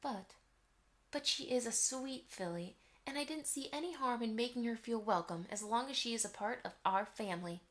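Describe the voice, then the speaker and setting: Brisk motherly mare played by a woman, highish voice. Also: natural voice. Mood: gently reassuring. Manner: firm, motherly. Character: middle-aged mother mare, brisk, bossy, affectionate. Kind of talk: fan audio drama acting.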